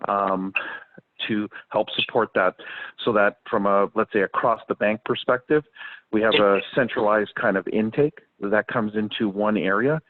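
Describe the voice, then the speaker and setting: Low Canadian man on a phone-like line. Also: dry recording. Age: middle-aged. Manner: halting, pausing between short phrases. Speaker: bank executive, webinar panelist. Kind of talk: unscripted business panel talk. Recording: dry, narrow phone-like call audio.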